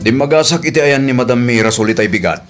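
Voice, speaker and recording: A man's voice: mid-range voice, lively intonation, fast, loud music behind the voice, lightly acted. A man playing a protective, indignant older brother in a radio comedy drama, plain-spoken and questioning. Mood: indignant, probing.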